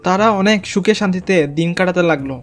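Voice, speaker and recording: A man's voice: sits high, fast, over loud music. A man narrating a scripted cartoon story.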